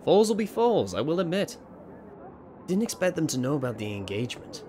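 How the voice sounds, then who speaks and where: A man's voice: a little high, big pitch swings, a little fast, a little hiss or hum. A man playing an earnest, dutiful pony captain of the royal guard in a radio drama, formal and pleading in manner. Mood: gently authoritative. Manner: formal, pleading, gentle with children. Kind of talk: fan radio drama voice acting.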